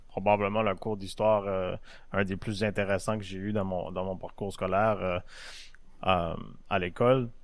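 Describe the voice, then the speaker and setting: Halting young man. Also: on the low side, no echo. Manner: halting, full of hesitations. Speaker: podcast host. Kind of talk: casual podcast talk.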